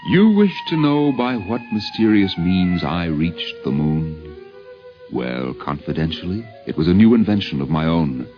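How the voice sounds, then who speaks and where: A swaggering romantic adventurer, played by a male radio actor, boasts in grand, theatrical style. The voice is low and swoops expressively, on a dull vintage radio recording. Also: sing-song, unhurried, faint music behind. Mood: boastful, confiding. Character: boastful romantic male poet-adventurer telling of moon voyages. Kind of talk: 1950s radio dramatic recitation.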